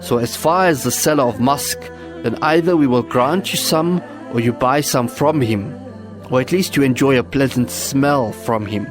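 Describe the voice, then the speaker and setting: A man's voice: mid-range voice, moderate pace, over music. A man giving solemn, scripted religious narration as a narrator.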